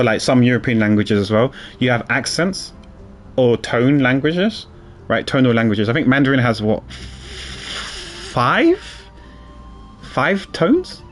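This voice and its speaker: A man, streaming a game and rambling in casual chat with many restarts. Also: medium pitch.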